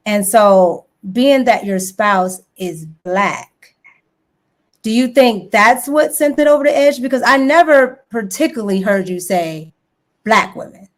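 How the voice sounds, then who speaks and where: A woman's voice: medium pitch, expressive, measured pace. A woman from the United States hosting an interview, asking thoughtful, probing questions and tagging them with "right?". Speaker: host.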